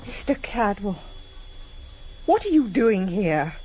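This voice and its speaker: An actress in a vintage radio drama playing a sharp-tongued, wary politician's wife, guarded and direct. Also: female voice, medium pitch, sing-song, slow. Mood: indignant. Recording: slightly noisy vintage radio recording.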